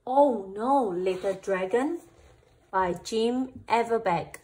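A woman storyteller reading a picture book aloud for children, with animated, deliberate phrasing. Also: a little high, breathy edge, poor audio.

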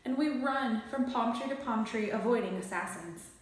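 A woman's voice: fairly high pitch, moderate pace. Young woman reading aloud, very echoey. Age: young adult. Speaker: storybook reader. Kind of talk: scripted children's book narration. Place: small bare room indoors. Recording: very echoey bare room.

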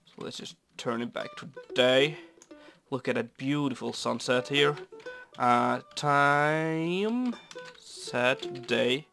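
Young man, raspy from a cold. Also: mid-range voice, slow. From Norway. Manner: rambling, easygoing, many fillers. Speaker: Minecraft builder. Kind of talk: casual gameplay commentary. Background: soft guitar music.

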